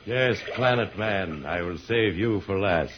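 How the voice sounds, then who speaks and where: An actor playing a gloating megalomaniac space villain in an old-time radio serial, pompous, menacing and theatrical. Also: male voice, low-pitched, unhurried, soft background music. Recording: muffled, hissy old radio recording.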